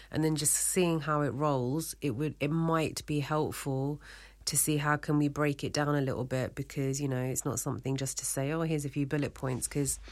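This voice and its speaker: Low-voiced woman, rambling radio host. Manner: rambling, confiding, thinking aloud. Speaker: community radio show host. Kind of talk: casual unscripted solo radio talk.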